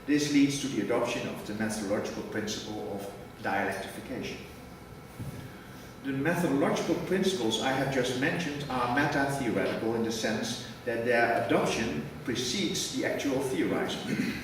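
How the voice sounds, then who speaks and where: Man, formal conference lecture, measured prepared reading, distant mic, big reverberant hall, audible background noise. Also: medium pitch. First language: Dutch. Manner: measured, reads a prepared text, precise wording. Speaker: academic lecturer. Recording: echoey hall from far off with noise.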